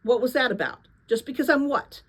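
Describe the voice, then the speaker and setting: A woman's voice: high voice, animated, brisk, slightly rough, voice pushed up, full character voice. A woman voicing a stubborn, grumbling teenage boy in a storybook reading, defensive and proud. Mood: defensive, heated. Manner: grumbling, indignant.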